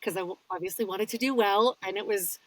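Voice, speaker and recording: A woman, a guest audiobook narrator, chatting casually in an interview from a small padded home booth and telling her stories with lively swings in her voice. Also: brisk, far from the mic, rough recording. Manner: animated storyteller with wide pitch swings.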